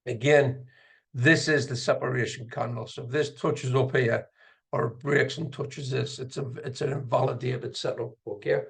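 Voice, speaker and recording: A man giving casual live commentary as an instructor, gravelly and husky, sometimes muttering to himself as he explains. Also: medium pitch, steady tone, moderate pace, a bit bright. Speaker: trading instructor and video host. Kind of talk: casual live screen-share commentary on chart trading.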